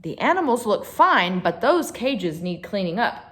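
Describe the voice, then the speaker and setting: A woman reading a storybook, voicing a brisk, commanding male zoo keeper who gives his staff orders. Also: mid-range voice, big pitch swings, a bit bright. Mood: brisk, bossy.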